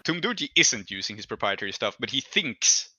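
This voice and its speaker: Bright-voiced man running a tabletop game. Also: mid-range voice, very animated, brisk, husky, dry recording. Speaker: game master. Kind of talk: narrating and adjudicating a tabletop game, conversational.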